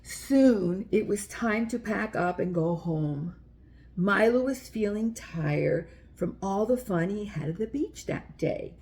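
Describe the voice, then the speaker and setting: A woman's voice: mid-range voice, animated, slow pace, a little mellow. A woman hosting a children's story time, reading aloud and speaking directly to young listeners in simple, explanatory language.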